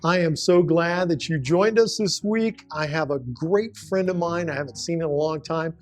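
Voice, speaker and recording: High-voiced enthusiastic male talk-show host. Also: moderate pace, soft background music. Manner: enthusiastic, emphatic. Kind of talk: faith talk show hosting.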